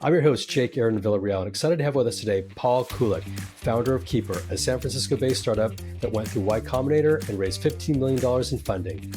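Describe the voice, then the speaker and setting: A man hosting a startup podcast, asking his guest questions in a measured, friendly way. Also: medium pitch, lively intonation, music in the background. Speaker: podcast host. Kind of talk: podcast interview.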